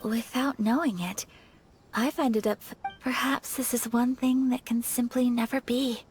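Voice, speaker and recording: A woman playing a gentle, earnest young noblewoman in a video game, speaking politely and formally in a soft, measured way. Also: a little high, fairly even intonation, faint music behind, lightly acted. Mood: hesitant, wistful. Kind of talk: English game voice acting.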